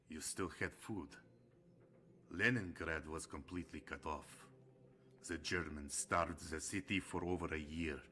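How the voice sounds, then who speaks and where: Big hearty soviet sergeant in a war game, deep voice, slow, boozy veteran type, some background noise. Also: male voice, bright, forceful tone. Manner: hearty, larger than life. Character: boisterous hard-drinking Soviet army sergeant, war-hardened veteran. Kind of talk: dramatic game cutscene acting.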